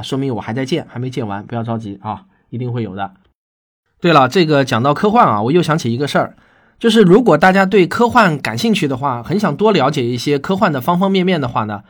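A man's voice: on the high side, slightly rough. A man hosting a podcast, explaining things to listeners in a conversational, emphatic monologue. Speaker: podcast host and science fiction writer.

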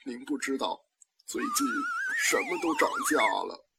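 A man's voice: very high voice, huge pitch swings, moderate pace, noisy background, heavily acted. A man playing a fawning minion. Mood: nervous. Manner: obsequious and stammering. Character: fawning, nervous male minion of a demon lord. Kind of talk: amateur radio drama acting. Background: loud background music.

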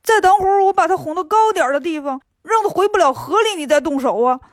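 A woman voicing a clumsy, boastful pig-monk who whines and complains fast in a children's storybook. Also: extremely high pitch, expressive, no echo, studio quality, pitched above the performer's own voice. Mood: indignant. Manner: whiny, indignant and fast-talking.

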